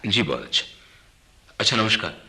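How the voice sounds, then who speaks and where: A man playing a worried, dutiful clerk in a radio drama, earnest and respectful, with a husky voice. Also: on the low side, very animated, brisk, very noisy. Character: worried, dutiful clerk son of an ailing mother. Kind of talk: Hindi radio drama acting.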